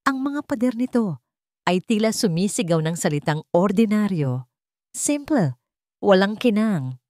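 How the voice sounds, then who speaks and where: A woman's voice: medium pitch, sing-song, unhurried, darkish tone, no echo, studio quality. A woman reading a scripted dramatic story as a storyteller.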